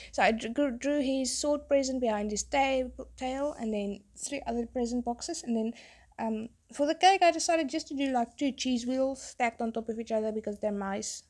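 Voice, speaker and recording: A young woman, a tattoo artist, chatting in a rambling way while she draws, her voice hoarse from being sick. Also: on the high side, a little mellow. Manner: rambling, chatty, self-interrupting. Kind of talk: casual chat while drawing.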